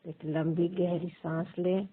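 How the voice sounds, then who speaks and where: A woman leads a guided meditation as a teacher, pausing between short, measured phrases in a husky, creaky voice. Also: lowish voice, level tone. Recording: thin phone-like sound.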